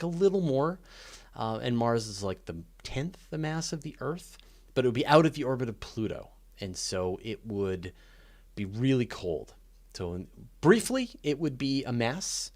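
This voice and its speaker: A man hosting a live question and answer session, talking off the cuff in an informal, opinionated, emphatic way and thinking aloud. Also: medium pitch. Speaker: host of a live space and astronomy Q&A. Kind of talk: casual off-the-cuff Q&A commentary.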